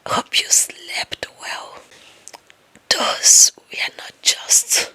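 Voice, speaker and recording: A woman's voice: pushed and bright, exaggerated voice, poor audio. Whispering, lovestruck African office gossip played by a woman. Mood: dreamy, smitten. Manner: slow, dramatic, gossipy whispering. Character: lovestruck, deluded, bossy young female office employee. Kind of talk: whispered ASMR roleplay.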